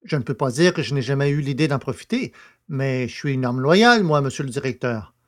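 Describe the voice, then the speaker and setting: A man's voice: mid-range voice, lively intonation, brisk, natural voice. A man playing a loyal, obliging poacher prisoner in an audio drama, speaking deferentially and insistently. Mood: earnest protest. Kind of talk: French audio drama acting.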